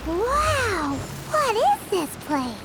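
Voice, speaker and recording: A woman voicing a tiny, childlike female companion creature in a video game, timid, excitable and whiny. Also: extremely slow, background noise, heavily acted. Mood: curious, amazed. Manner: squeaky, whiny, speaks of self in third person. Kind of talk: English video game voice acting.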